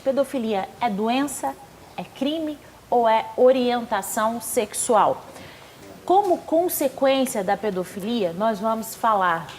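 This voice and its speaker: A woman with a fairly high voice hosts a studio talk show. She introduces guests with gushing, effusive praise and slips in quick chatty asides. A faint hiss of noise sits under her voice.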